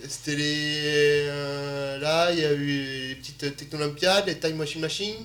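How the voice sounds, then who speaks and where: A man's voice: on the high side, flat delivery, measured pace, fairly bright tone, slight background noise. A man from Occitanie, a party organizer and DJ, talking casually and hesitantly as a radio guest. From Occitanie, France. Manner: hesitant, lots of fillers, easygoing. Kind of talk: casual interview talk.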